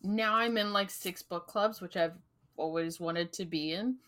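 A woman co-hosts a casual book podcast, speaking slowly in a slightly low, bright voice. She laughs often and backs up her partner with short, friendly replies. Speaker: podcast co-host. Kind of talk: casual chat.

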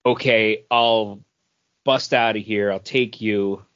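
A man's voice: on the low side, fairly even intonation, takes it slowly, fairly bright tone. A man co-hosting a podcast, chatting casually through a comic book review in a rambling way that repeats phrases as he thinks. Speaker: podcast co-host. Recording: dull lo-fi podcast audio.

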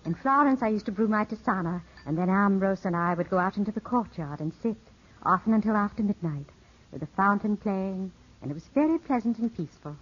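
An actress playing a poised, gracious widow, coaxing and measured, in 1950s radio drama style. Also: female voice, medium pitch, lively intonation, lightly acted. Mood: gracious, pleasant. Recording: muffled old 1950s radio broadcast.

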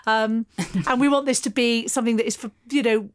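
A woman's voice: a little high, steady tone, brisk. A fifty-year-old woman, hosting a podcast and introducing guests in an encouraging way. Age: 50s. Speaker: podcast host. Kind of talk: casual interview. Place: a home, indoors.

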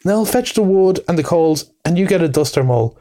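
A man reading aloud voices a cheery, bustling male water rat who talks in a brisk, hearty, encouraging way. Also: a little high, expressive, warm tone, pitched above the performer's own voice, natural voice. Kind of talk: storybook reading.

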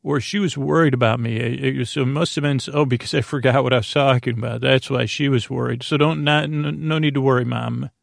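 A man with a creaky, gravelly voice hosts a bedtime podcast, recorded close and dry. He meanders through his monologue in halting phrases, stumbling over words and breaking off to correct himself. The delivery is droning and low-stakes. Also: fairly even intonation, no echo. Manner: halting, meandering, self-interrupting, often stammers over words. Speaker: podcast host.

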